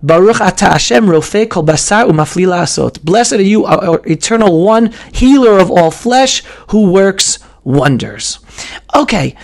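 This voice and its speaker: A hoarse man in his forties teaching in a casual live stream from a small room at home, talking emphatically and often repeating himself. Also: sits high, moderate pace. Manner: animated and emphatic, with frequent repeats and restarts. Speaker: video host teaching about prayer. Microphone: webcam, close framing.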